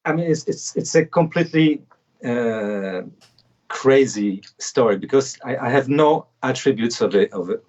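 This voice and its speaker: A man with a Polish accent, guesting on a casual livestream interview from home, speaking haltingly with frequent restarts. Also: medium pitch, expressive, darkish tone, lo-fi sound. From Poland. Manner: halting, many restarts and fillers. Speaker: guest musician.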